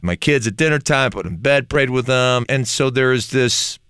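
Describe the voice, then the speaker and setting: A man's voice: medium pitch, animated, moderate pace, a bit bright, smooth, no echo. Man, Turkish native speaker, earnest, candid, self-deprecating, mid-range voice, dry close sound. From Turkey. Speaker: ministry leader, podcast guest. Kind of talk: casual conversation on faith.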